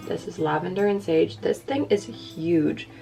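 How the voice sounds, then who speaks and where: A young woman with a raspy voice, rambling casually and self-deprecatingly to the camera as a lifestyle vlogger. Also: on the low side, a little slow, dark tone, soft background music.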